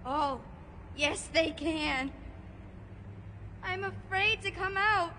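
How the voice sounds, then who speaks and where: A woman voicing a timid, frightened small boy who speaks haltingly in a dramatized audiobook. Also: very high voice, pushed and bright, a little hiss or hum, exaggerated voice. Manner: fearful, halting. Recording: echoing hall, music underneath.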